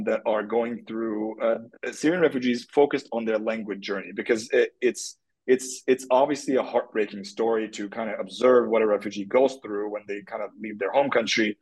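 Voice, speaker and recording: A man's voice: lowish voice, darkish tone, breathy edge, rough recording. A man from Turkey, a guest in an interview, answering at length with many fillers and restarts. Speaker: guest, tech product executive.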